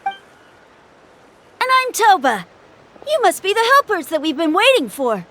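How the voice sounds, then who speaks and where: A woman's voice: very high-pitched, sing-song, takes it slowly, background noise, heavily acted. A woman voicing a small, plucky, blunt village child in a video game, with a childlike voice. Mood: friendly.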